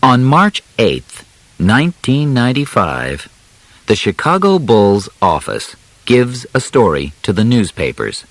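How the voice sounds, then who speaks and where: A man reading a scripted audiobook in simple, measured phrases with clear pauses. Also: mid-range voice, huge pitch swings, dry recording, low-quality recording. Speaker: audiobook narrator. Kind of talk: scripted narration of a simplified biography for learners.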